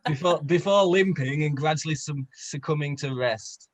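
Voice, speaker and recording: A man's voice: fairly high pitch, normal speed. A man reading a story aloud for comedy with dramatic delivery, stumbling and correcting himself. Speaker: co-reader on a comedy stream.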